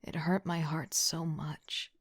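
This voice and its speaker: Audio drama narrator, female actor: young leader, dry-witted, unhurried, lowish soft breathy delivery, close dry sound. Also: little pitch movement, no echo, exaggerated voice. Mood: introspective.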